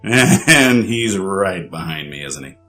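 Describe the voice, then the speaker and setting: A man voicing an excitable, cocky male prankster in a story, exclaiming and stammering. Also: low voice, slow, faint music behind, exaggerated voice. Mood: panicked.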